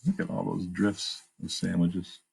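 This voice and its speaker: A man's voice: deep voice, flat delivery, measured pace, creaky, darkish tone, slightly rough, rough recording. A man reading a children's picture book aloud, expressively, with plain asides about the pictures. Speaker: storytime reader and narrator.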